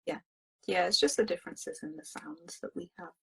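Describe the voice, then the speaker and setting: Husky English woman with a Nottinghamshire accent. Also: lowish voice, sing-song, fairly quick. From England. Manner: explains her views through examples. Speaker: co-host of an English lesson. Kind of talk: casual conversation.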